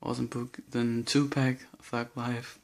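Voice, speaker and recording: Halting young Danish man, gravelly. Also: medium pitch, little pitch movement. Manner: hesitant, halting listing with nervous laughs. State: nervous and shaky. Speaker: vlogger. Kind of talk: casual bookshelf tour.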